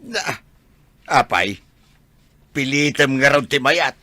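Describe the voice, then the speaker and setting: A man playing a blunt, protective older father in a radio drama, rough-voiced, chiding and exclamatory. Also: mid-range voice, lively intonation, takes it slowly, pushed and bright, no echo. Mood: blunt, indignant.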